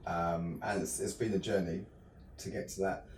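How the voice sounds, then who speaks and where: A man's voice: low-pitched, moderate pace, roomy sound, far from the mic, a little hiss or hum. A young English man from the south of England with a southern English accent, chatting casually in an interview with lots of ums and restarts. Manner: relaxed, many ums and restarts. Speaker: interview guest, filmmaker.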